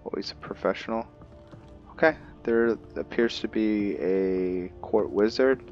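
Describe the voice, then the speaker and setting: A man's voice: on the low side, measured pace. A man giving casual roleplay commentary on a game, thinking aloud and slipping into character. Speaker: gaming host. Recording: dull lo-fi mic, music behind.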